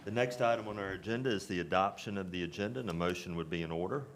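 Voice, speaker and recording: A man chairing a town board meeting in a measured, formal way. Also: sits low. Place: town council chamber. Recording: noisy meeting room recording.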